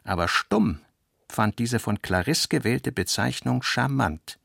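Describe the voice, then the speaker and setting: A man narrating a literary radio play in a measured, expressive storytelling manner. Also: mid-range voice, creaky, no room sound, clean studio sound.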